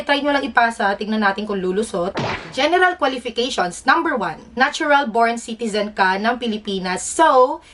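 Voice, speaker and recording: High-voiced Filipino woman, chatty, off-mic. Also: a bit bright, distant mic. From Philippines. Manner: chatty, informal, jokey asides. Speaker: vlogger, air traffic employee. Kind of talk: casual explainer vlog, Taglish.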